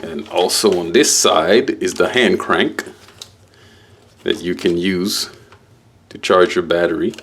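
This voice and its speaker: A man giving a casual, halting unboxing walkthrough as a product reviewer, explaining things piece by piece. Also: deep voice, fairly bright tone, a little hiss or hum.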